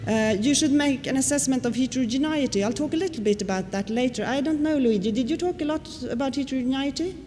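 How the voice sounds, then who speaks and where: A woman's voice: high voice, quick pace, few pauses, mellow. Woman, Swedish native, conference lecturer, explanatory, fillers, long hall reverb. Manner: explanatory, with frequent fillers and questions to the audience. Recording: reverberant hall with faint noise.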